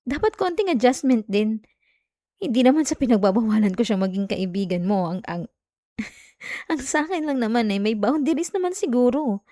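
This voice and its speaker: Clingy, jealous girlfriend played by a woman, soft and mellow. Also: a little high, lively intonation, normal speed, no echo, studio quality, natural voice. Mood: defensive, jealous. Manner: coaxing, whiny, with sighs and hesitations. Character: clingy, jealous young girlfriend, pleading and pouting. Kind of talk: intimate ASMR girlfriend roleplay. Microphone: close, dry microphone.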